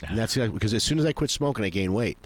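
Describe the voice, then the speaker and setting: A man's voice: on the low side, animated, racing. A man in his forties in a casual, rambling chat, swearing freely and acting out what others said. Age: 40s. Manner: rambling, profane, acts out quoted voices.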